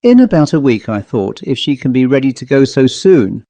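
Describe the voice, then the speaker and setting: A man's voice: medium pitch, big pitch swings, normal speed, mellow, no echo. A man voicing an earnest, anxious country woman, an aunt in a storybook, hesitant yet insistent. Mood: indignant.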